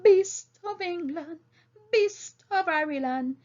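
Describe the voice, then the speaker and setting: Casting: woman as old boar orator for a storybook, high voice, slow solemn emphatic delivery, dull lo-fi recording. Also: darkish tone. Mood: rousing, singing. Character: old male boar, solemn rousing orator to fellow animals. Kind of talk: audiobook character speech and song.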